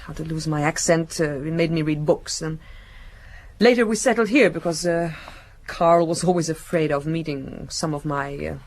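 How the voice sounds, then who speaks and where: A scheming lady crook with refined airs, cornered in a radio mystery. Her low voice is guarded, with hesitant pauses as she gives things away. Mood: subdued, confessing. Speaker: actress in a detective radio drama. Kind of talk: broadcast radio drama acting.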